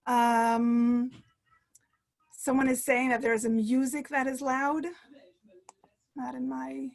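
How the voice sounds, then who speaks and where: A woman author talking to a book club over a video call, hesitant with many fillers, and reading a passage aloud. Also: sits high. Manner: halting, many fillers and restarts. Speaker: author guest.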